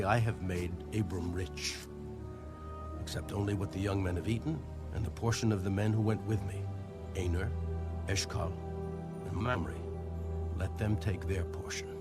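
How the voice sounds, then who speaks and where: A man acts out a resolute patriarch of scripture with a lowish voice. He speaks firmly and solemnly over clear background music. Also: fairly even intonation, normal speed, lightly acted. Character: resolute, principled patriarch refusing reward.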